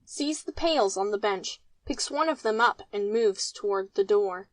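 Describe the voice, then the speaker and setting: A woman's voice: mid-range voice, animated, measured pace, no echo. A woman reading out a play's stage directions as scripted narration.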